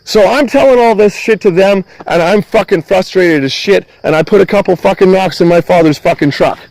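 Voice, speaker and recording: High-pitched angry middle-aged man swearing. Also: a little fast, vocal fry, a little mellow, no room sound, rough recording. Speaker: van-dwelling vlogger. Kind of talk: agitated venting to a police officer. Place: outdoors at a rural property.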